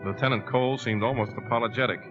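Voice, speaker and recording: A man's voice: medium pitch, fairly even intonation, fast talker, lightly acted. An actor playing a troubled, earnest man who also narrates in a 1950s radio drama. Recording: dull vintage radio recording with music.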